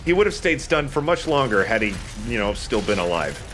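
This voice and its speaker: Low-pitched, animated man doing game commentary. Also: fairly quick, over music. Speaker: let's play host, playing a shooter game. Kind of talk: casual gameplay commentary. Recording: noticeable background noise.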